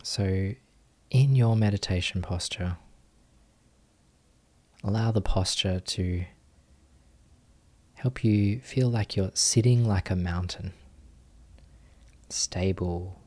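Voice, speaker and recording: Slow, low-voiced man guiding meditation. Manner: gentle, hushed, with long pauses between short phrases. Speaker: meditation teacher. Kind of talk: guided meditation.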